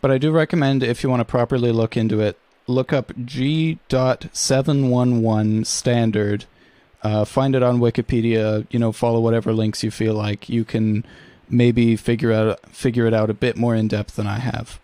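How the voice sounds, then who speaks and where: A man talks through a casual how-to at a middle pitch. His delivery is informal and matter-of-fact, a little unsure in places. The voice is recorded dry, with no room sound. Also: fairly even intonation, moderate pace, warm tone, no echo. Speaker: tutorial presenter. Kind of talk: casual audio-production tutorial.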